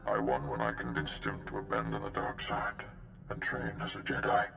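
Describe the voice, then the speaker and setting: A man acting a grave, measured mentor, a Jedi master in a video game, with a deep gravelly voice. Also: lively intonation, exaggerated voice. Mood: grave concern. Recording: roomy echo under loud music.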